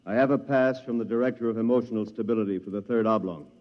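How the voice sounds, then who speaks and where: An actor playing a stern, terse escort guard in a 1950s radio drama, giving clipped commands. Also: male voice, fairly low pitch, fast talker, lightly acted. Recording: muffled, reverberant, noisy vintage radio recording.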